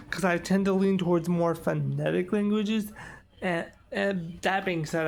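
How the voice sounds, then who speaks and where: A young man in his twenties talks to the camera in a loose, rambling vlog style, with many fillers and restarts. His voice is strongly gravelly, with heavy fry, and sits a little high for a man. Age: 20s. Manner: rambling, lots of "like" and "um". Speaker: vlogger. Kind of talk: casual vlog chat.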